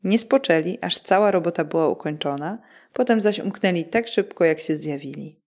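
A woman's voice: fairly low pitch, animated, moderate pace, darkish tone. A woman reading a scripted fairy tale as a bedtime story narrator.

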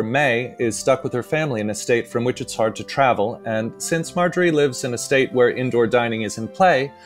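An actor playing an effusive, awkward, self-correcting steakhouse waiter who narrates a comic radio play. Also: male voice, medium pitch, natural voice. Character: chatty, over-eager, awkward male steakhouse waiter and narrator. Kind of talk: radio play comedy acting.

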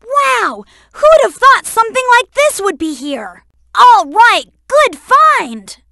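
A woman voicing an eager, helpful young boy fox sidekick in a video game, speaking in an earnest, peppy, clearly enunciated way. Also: extremely high pitch, very animated, takes it slowly, pushed and bright, no echo, clean studio sound, heavily acted. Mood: excited, urgent.

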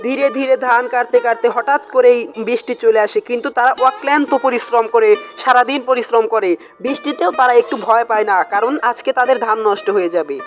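A woman's voice: a little high, quick pace. A woman narrates a cartoon folk tale. She reads in a scripted storybook style, with music playing behind her.

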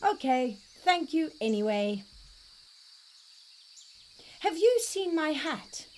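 A woman's voice: high-pitched, big pitch swings, takes it slowly, darkish tone, slight background noise, pitched above the performer's own voice, exaggerated voice. A woman voicing a big, polite bear in a children's storybook, plain and earnest. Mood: forlorn. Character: big, polite, forlorn bear missing its hat. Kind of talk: children's storybook read-aloud.

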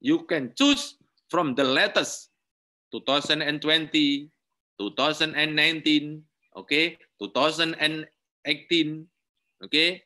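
Slow, bright-voiced Indonesian man lecturing. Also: a little high, steady tone. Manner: slow, repetitive, punctuates with "okay"; simple non-native English. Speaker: university lecturer. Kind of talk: webinar tutorial, screen-share demonstration.

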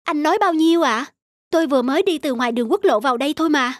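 A woman's voice: high-pitched, racing, no room sound, studio quality, close to an everyday voice. A woman playing an earnest, decisive female doctor, speaking emphatically in a cartoon drama. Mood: puzzled.

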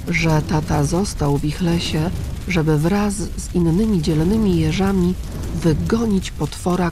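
A woman reading a children's story aloud as its narrator, softly and gently. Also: on the low side, a little hiss or hum. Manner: slow, soft and gentle.

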